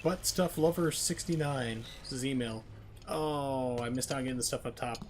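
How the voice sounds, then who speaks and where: A man's voice: mid-range voice, moderate pace. A man livestreaming a video game with casual, joking, rambling commentary. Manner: wisecracking, rambling. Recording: faint game noise behind commentary.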